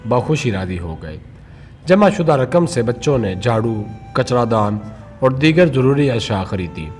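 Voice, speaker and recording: A man reading a scripted moral story for children as a storyteller, in a measured read-aloud manner. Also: medium pitch, a little mellow.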